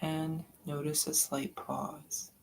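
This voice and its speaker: A meditation guide giving soft-spoken, drawn-out breathing instructions with long pauses between phrases. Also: medium pitch, level tone, gravelly, a little hiss or hum, poor audio.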